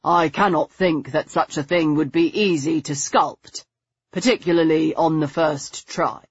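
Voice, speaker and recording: A woman narrator plays a courteous, learned man in an audiobook with a low, husky voice. He is calm, soothing and measured, heard in a roomy recording. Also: dull audio, heavily acted. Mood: gentle, commending.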